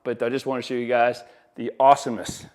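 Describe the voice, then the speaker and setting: A man's voice: medium pitch, little pitch movement, fast talker, darkish tone. A man giving a casual, enthusiastic product demonstration as an installer, with folksy, colloquial sales patter. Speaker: audio installer presenting products.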